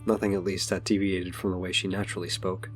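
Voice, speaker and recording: A man's voice: sits low, flat delivery, quick pace, faint music behind, close to an everyday voice. A man narrating a scripted superhero audio drama. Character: narrator of a superhero adventure story.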